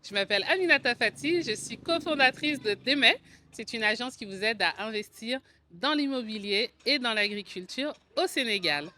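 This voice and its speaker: A woman in her forties hosts a personal vlog in a French accent from France. Her voice sits a little high and has a clear, forward brightness. She talks in a conversational way, explaining her own experience to viewers. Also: animated, normal speed, slight background noise. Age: 40s. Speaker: video channel host, agency co-founder. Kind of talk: personal vlog storytelling to camera.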